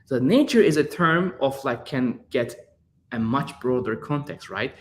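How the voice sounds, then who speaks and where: A man's voice: medium pitch, lively intonation, unhurried. A man in his thirties from Turkey with a Turkish accent, answering interview questions in a searching way, with frequent fillers and repeated words. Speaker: guest artist.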